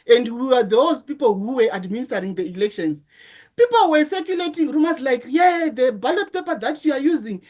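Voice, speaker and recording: A middle-aged woman from Zimbabwe with a Zimbabwean accent, giving a lecture-style presentation, pausing to ask rhetorical questions and restarting her phrases. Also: sits high, darkish tone. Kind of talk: conference presentation.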